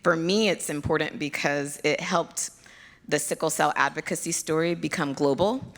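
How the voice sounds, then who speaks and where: A Nigerian American woman speaks into a stage microphone at a conference, with a low, gravelly voice. Her talk is chatty and self-deprecating, and she laughs often with the audience. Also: moderate pace. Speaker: pediatric hematologist, keynote speaker.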